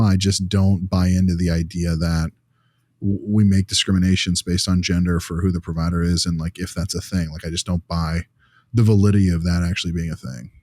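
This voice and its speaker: Man, low voice, dry sound, blunt, opinionated, informal podcast co-host. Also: darkish tone, no room sound. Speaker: podcast co-host and clinical discussant. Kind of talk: casual podcast discussion.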